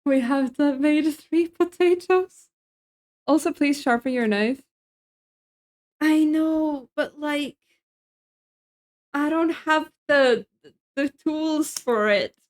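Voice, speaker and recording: A young woman with a high, light voice chats with her viewers as she cooks at home. She, laughs easily, and answers chat messages as they come in. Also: takes it slowly, long pauses. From Sweden. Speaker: streamer. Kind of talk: casual chat while cooking on stream.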